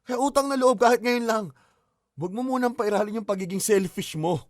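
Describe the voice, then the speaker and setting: A young actor plays an exhausted student boyfriend in a radio drama, blunt and fed up. His voice sits unusually high for a man. He sounds strained and weary. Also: moderate pace, no echo, studio quality, lightly acted. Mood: exasperated. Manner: blunt and exasperated, turning tearful.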